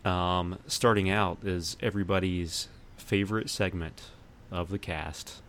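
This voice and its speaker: A man hosting a podcast in casual chat, often pausing partway through his sentences. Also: sits low, fairly even intonation, a bit bright. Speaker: podcast host. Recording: noticeable background noise.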